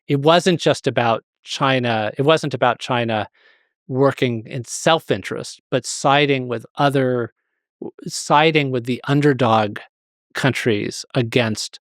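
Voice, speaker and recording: A man's voice: medium pitch, no room sound, studio quality. A man speaking as an expert guest in an interview, explaining hesitantly and often rephrasing himself.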